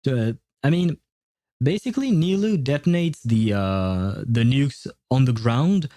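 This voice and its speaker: A man with a soft-edged, mellow voice chats casually on a gaming livestream. He answers viewers in an explanatory way, pausing often to find his words. His medium-pitched voice sounds dry, with no room echo. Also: animated.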